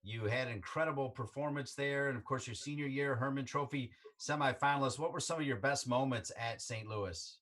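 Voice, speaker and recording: Male host, upbeat interviewer with an even mid-range voice. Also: medium pitch, a little fast, few pauses. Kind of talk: casual sports interview.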